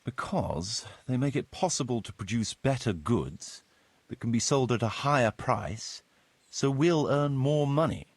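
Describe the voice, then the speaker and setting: Video game role: practical, courteous village steward, husky and measured, recorded close and dry. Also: male voice, medium pitch, expressive, no echo. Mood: explanatory. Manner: measured, formal, instructive. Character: practical medieval village steward, courteous, businesslike adult man. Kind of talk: video game cutscene dialogue, explanatory.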